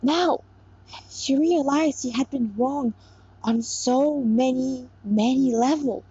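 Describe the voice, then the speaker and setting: A woman's voice: high voice, dark tone, slightly rough. A woman reading a story aloud informally and expressively, with casual asides, stumbling now and then over the text. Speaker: narrator reading own story. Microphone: clip-on lapel mic. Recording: dull, lo-fi sound.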